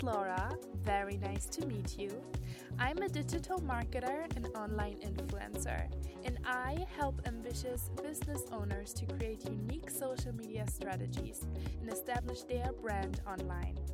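A woman hosting a podcast, interviewing a guest in a gushing, enthusiastic way. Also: medium pitch. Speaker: podcast host.

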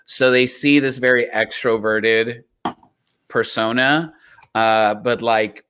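A man's voice: medium pitch, takes it slowly, a little hiss or hum. A man from Texas with a Southern Texan accent, reciting spoken word poetry and then chatting playfully about it. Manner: dramatic, rising intensity; animated, playful chat. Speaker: poet.

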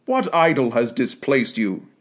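A man's voice: mid-range voice, very animated, measured pace. A man playing a curt, grasping young man. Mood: bitter. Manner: curt, impatient.

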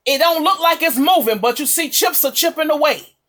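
A woman's voice: sits high, animated, brisk, fairly bright tone. A woman preacher giving an impassioned, exhorting charismatic sermon, repeating phrases for emphasis.

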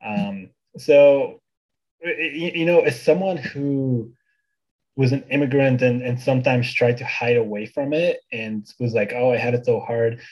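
A man's voice: mid-range voice, unhurried, a little mellow, muffled recording. A young man from Bulgaria in his twenties, a podcast guest chatting casually, with many fillers and restarts. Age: 20s. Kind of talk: casual interview chat.

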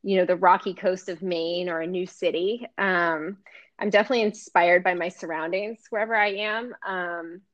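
Relaxed woman with dull, lo-fi sound. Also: fairly low pitch, moderate pace. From Florida. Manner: relaxed, hesitant, lots of ums and laughs. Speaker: guest artist. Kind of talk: casual interview chat.

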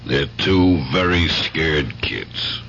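A firm police captain in a seventies radio play. His very deep, creaky voice has a hard edge as he patiently patronizes. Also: lively intonation, drawn-out words, a bit bright, full character voice. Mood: firm, placating. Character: firm, patronizing male police superior, calmly reasoning. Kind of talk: 1970s radio drama acting. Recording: dull, noisy 1970s radio recording.

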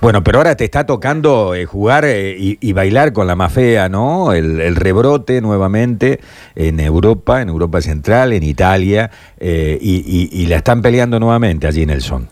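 A man's voice: deep voice, lively intonation, normal speed, darkish tone, dry recording. A man, a radio host asking conversational questions. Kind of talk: radio interview.

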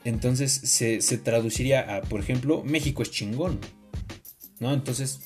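A Mexican man in casual podcast conversation, giving his opinions with plenty of slang and expressive delivery. His voice sits at a medium pitch, and music plays faintly behind him. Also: measured pace.

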